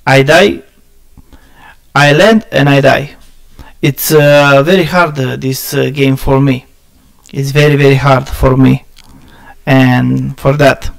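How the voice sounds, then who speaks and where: A man from Romania with a Romanian accent chatting casually with viewers on a game stream, halting and searching for words, often laughing at himself. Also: medium pitch, fairly even intonation, creaky. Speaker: gaming streamer.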